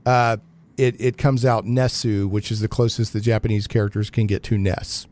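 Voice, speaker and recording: A man's voice: lowish voice, little pitch movement, moderate pace, darkish tone. A man hosting a live geek talk radio show in a conversational, informal way.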